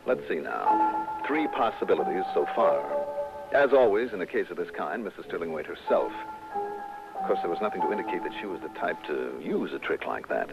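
Shrewd American male investigator: low, crisp delivery, old broadcast with dulled sound and music. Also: very animated, a little fast, heavily acted. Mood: musing. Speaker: lead actor and narrator. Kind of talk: 1960s radio detective drama acting. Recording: dull old radio broadcast, music behind.

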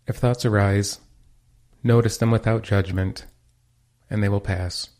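A man leading a guided meditation as its teacher, giving calm, unhurried instructions. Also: lowish voice.

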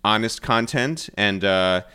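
A man's voice: fairly low pitch, takes it slowly, sharp tone, clean tone. A man hosting a podcast, chatting casually with slangy, jokey turns of phrase. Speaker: podcast host. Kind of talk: casual phone interview chat.

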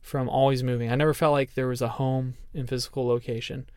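A man in his thirties with a smooth, resonant voice talks with earnest openness. He sounds thoughtful and personal, recorded close and dry. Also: medium pitch, level tone, fast, a little mellow, no echo. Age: 30s. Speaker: podcast co-host. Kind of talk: casual reflective chat.

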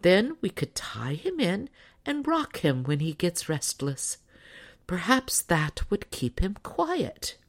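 A woman voicing a practical, thoughtful mother in a storybook, speaking in a gentle, reasoning way. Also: on the low side, big pitch swings, takes it slowly, exaggerated voice. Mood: gentle suggesting.

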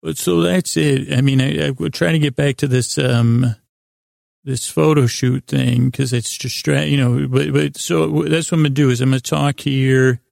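A man with a creaky voice hosting a bedtime podcast, improvising a halting, meandering, self-deprecating monologue that slips into imagined dialogue. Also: medium pitch, fairly even intonation, a little mellow, no room sound, studio quality. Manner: acts out imagined back-and-forth dialogue in his own voice. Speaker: podcast host.

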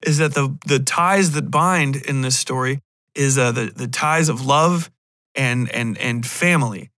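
A man's voice: mid-range voice, unhurried, bright, forceful tone, no room sound, studio quality. An American man hosting a casual solo podcast, rambling through a review in a blunt, irreverent, profane way. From United States. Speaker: podcast host.

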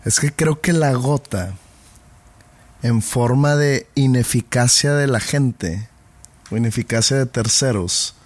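A man's voice: mid-range voice, slow, no room sound. Guy bantering on a podcast, teasing, goes off on long jokey tangents. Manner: playful, wordy, teasing jokes. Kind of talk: casual podcast chat.

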